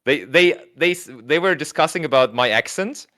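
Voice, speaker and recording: A man's voice: fairly high pitch, animated, normal speed, bright, forceful tone. A man with a German accent doing casual livestream commentary, chatting with his viewers, reading their messages aloud and laughing often. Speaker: tournament co-commentator.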